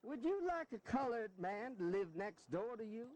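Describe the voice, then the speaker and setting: A man's voice: sits high, very animated, normal speed, a little mellow. An actor playing a wily, genteel statesman in a historical audio drama, drawling and folksy. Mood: condescending. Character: genteel, wily Southern statesman defending slavery, male.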